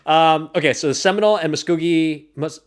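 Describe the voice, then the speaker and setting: A man's voice: fairly high pitch, a little fast, clean tone. Joking man telling stories on a podcast. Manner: animated, joking storyteller. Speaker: comedian co-host. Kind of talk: casual podcast chat.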